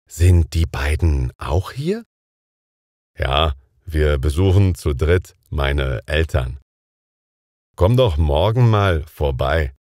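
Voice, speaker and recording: A man with a strikingly low, heavy voice reads a scripted everyday conversation for learners. Each word comes out slowly and with careful enunciation. The sound is close and completely dry, with no room around it. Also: a little mellow, no room sound. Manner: slow, carefully enunciated, deliberate. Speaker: dialogue speaker in a language lesson.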